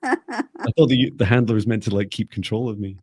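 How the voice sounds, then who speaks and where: A man's voice: lowish voice, big pitch swings, brisk, darkish tone, slightly rough, no room sound, clean studio sound. A middle-aged man hosting a live stream in casual, jokey, chatty conversation.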